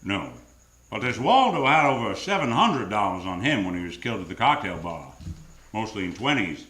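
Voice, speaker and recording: An actor playing a cool, sardonic private detective in a deadpan 1940s-style live radio drama. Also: male voice, lowish voice, moderate pace. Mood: cool, wry. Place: theater stage. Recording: roomy live theater stage sound.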